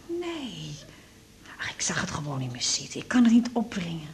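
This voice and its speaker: An actress playing a worried middle-aged mother in a radio drama, hushed and self-reproaching. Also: lowish voice, wildly swooping pitch, moderate pace, slight background noise. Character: middle-aged mother, anxious and guilt-ridden.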